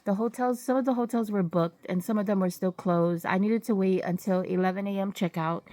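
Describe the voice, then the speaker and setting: A woman recovering from a cold, sniffly, telling travel testimonies in a halting, casual way with many fillers and self-corrections. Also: mid-range voice, steady tone, mellow, no room sound. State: getting over a cold, sniffling. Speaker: vlogger sharing travel testimonies.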